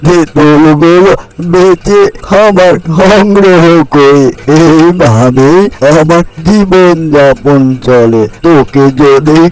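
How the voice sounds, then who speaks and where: Slow-talking scolding old granny, voiced by a man. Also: on the high side, a little mellow, poor audio. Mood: scolding, grudging. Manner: slow, drawn-out, exclamatory delivery. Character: scolding old village granny guarding her tamarind tree. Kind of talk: cartoon fairy-tale acting.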